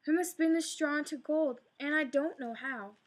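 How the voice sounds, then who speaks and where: A woman's voice: sits high, moderate pace, pitched above the performer's own voice, close to an everyday voice. A woman voicing a frightened young miller's daughter who speaks in a pleading, anxious way.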